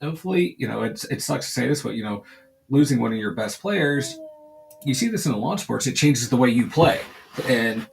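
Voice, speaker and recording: A man with a Pittsburgh accent co-hosting a casual sports podcast, laid-back and rambling, with a hoarse voice. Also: mid-range voice, expressive, fairly quick, low-quality recording. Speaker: podcast co-host.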